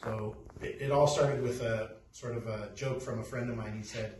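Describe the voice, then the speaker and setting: A man's voice: medium pitch, steady tone, darkish tone. Middle-aged guy from peru, ceo intro, stumbles and restarts a lot, faint hiss behind him. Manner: halting, many restarts and fillers. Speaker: company CEO. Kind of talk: casual self-introduction talk. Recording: echoey room, distant mic, faint noise.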